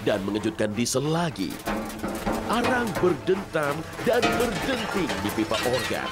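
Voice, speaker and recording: A man tells a children's story as scripted narrator. His mid-pitched voice moves up and down with lots of expression. Loud music runs behind him throughout. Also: wildly swooping pitch, a little slow.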